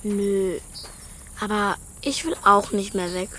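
A woman's voice: mid-range voice, takes it slowly, bright, forceful tone, slight background noise. A woman playing a frank, stubborn young schoolgirl in a naturalistic children's radio drama, speaking plainly.

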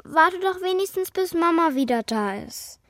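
A woman's voice: extremely high pitch, animated, moderate pace, fairly bright tone. A woman playing a cheeky, stubborn young schoolgirl in a children's radio play, with a blunt, needling manner. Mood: angry, defiant.

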